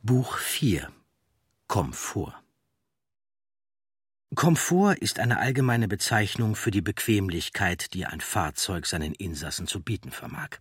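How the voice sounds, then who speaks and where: Deep, husky man narrating a documentary audiobook. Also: very animated, measured pace, no echo, clean studio sound.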